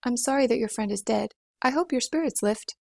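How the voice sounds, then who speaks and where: A woman's voice: fairly high pitch, fairly quick, vocal fry, dry recording, clean studio sound. A woman voicing a polite, earnest young girl in a storybook.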